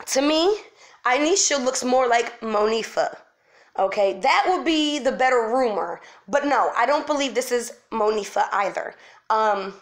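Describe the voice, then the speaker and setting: High-voiced woman, casual and under the weather. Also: a bit bright. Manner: informal, repeats words for emphasis. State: sick, losing her voice. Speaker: online video host. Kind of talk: casual chat to followers, commentary.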